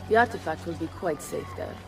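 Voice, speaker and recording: A woman's voice: deep voice, lively intonation, fairly quick. An actress playing a proud, foul-mouthed Renaissance noblewoman with an Italian accent, bold and theatrical in a game cutscene. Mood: sly, haughty. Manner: bold, brash, theatrical, peppers speech with Italian. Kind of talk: dramatic game cutscene acting. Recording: loud music behind voice. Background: game soundtrack music.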